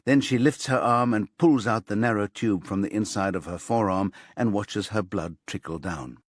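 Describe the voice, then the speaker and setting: Low, husky male audiobook narrator. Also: normal speed, no room sound, clean studio sound. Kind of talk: dramatic scripted crime thriller narration.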